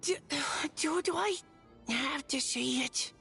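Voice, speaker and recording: A woman's voice: high voice, takes it slowly, gravelly, fairly bright tone, faint music behind, exaggerated voice. A woman voicing a shy, polite young boy knight in a video game, earnest and hesitant in delivery. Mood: nervous. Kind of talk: video game character acting.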